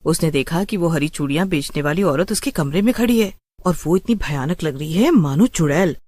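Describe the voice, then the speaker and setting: A woman's voice: low-pitched, animated, fairly quick, darkish tone. A woman narrating a scripted horror story in a dramatic storytelling style.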